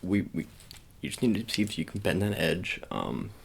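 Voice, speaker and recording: A low-voiced man improvises an ASMR agent roleplay with plenty of gravelly fry. He talks casually and haltingly to one listener, slipping in playful slang, over faint background noise. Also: big pitch swings. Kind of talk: ASMR roleplay, one-sided personal-attention chat.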